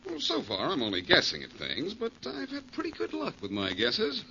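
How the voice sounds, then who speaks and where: An actor playing a breezy, confident, needling detective in a 1940s radio drama. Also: male voice, sits high, huge pitch swings, moderate pace, creaky, sharp tone, exaggerated voice. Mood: wry, probing. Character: wry, confident amateur detective, adult man. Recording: dull, noisy 1940s radio recording.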